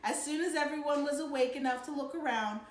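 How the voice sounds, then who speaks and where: A woman reading a children's novel aloud in an animated, dramatic storytelling manner. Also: sits high, quick pace. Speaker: read-aloud narrator. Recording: roomy, distant mic, faint noise.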